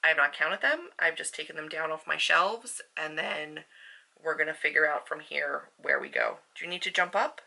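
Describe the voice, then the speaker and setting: A woman talking in a casual, upbeat, chatty vlog style, informal and full of quick asides. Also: sits low, animated, gravelly, sharp tone, poor audio. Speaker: book vlogger and author. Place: indoors at a desk at home.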